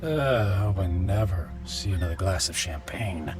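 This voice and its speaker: An actor playing a self-absorbed, weary wealthy businessman in a radio drama, halting and brooding. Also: low-pitched, expressive. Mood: groggy, confused. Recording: music behind the voice.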